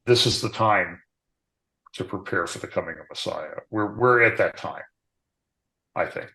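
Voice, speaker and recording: A man talking as a guest in a relaxed, plainspoken way. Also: low-pitched, moderate pace, low-quality recording. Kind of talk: casual conversation.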